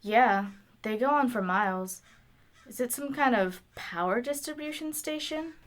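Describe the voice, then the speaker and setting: A woman's voice: a little high, expressive, measured pace. A woman acting in an audio drama as an earnest, self-assured young female engineer, wry and nerdy, with a musing way of talking. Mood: fascinated. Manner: wry, musing, talks to herself.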